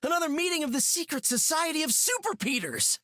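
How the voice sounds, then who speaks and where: A man's voice: very high voice, expressive, fairly quick, pushed and bright, no room sound, studio quality, heavily acted. An actor playing a joking young male web-slinging hero with a playful, quippy manner in a video game.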